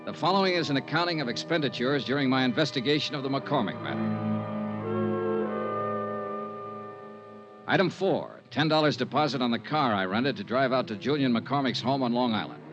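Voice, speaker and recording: A man's voice: mid-range voice, animated, quick pace, music in the background, lightly acted. An American man acting in an old radio drama as a shrewd, unflappable insurance investigator, with a clipped, wry, businesslike delivery. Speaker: radio actor playing lead detective. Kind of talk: 1950s radio detective drama acting, first-person narration. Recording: muffled, noisy 1950s radio recording.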